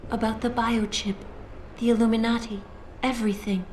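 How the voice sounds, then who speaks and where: A woman voicing an enigmatic artificial-intelligence news anchor, measured, formal and solemn. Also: on the high side, level tone, darkish tone, a little hiss or hum, lightly acted. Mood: solemn composure. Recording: echoey, faint music behind.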